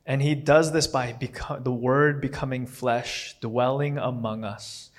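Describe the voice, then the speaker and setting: A man's voice: medium pitch, steady tone, measured pace. Man preaching a loose, chatty sermon. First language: not English. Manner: rambling, self-correcting, with frequent fillers and restarts. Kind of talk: casual conversational sermon.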